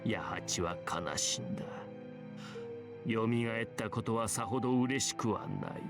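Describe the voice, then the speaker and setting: A man playing a solemn student president who tells a ghost story like an old storyteller, in a measured cadence with a voice that gives out and shifts tone, in visual novel style. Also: lowish voice, lightly acted. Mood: grave, eerie. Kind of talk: dramatic Japanese visual novel acting. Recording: game audio over background music.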